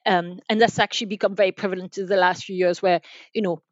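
A woman giving explanatory interview answers as a podcast guest, halting and repeating words as she goes. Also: fairly low pitch, fairly even intonation, no room sound, highs cut off. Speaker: podcast guest, enterprise sales manager.

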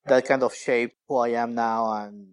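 Halting Venezuelan-born man, interview guest. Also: medium pitch, steady tone. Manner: halting, with many fillers and restarts. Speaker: company founder, guest. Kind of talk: casual interview answers.

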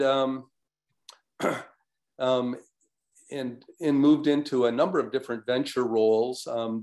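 An older man introducing himself as a guest expert on a webinar, speaking in a measured way with frequent ums and restarts. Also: medium pitch.

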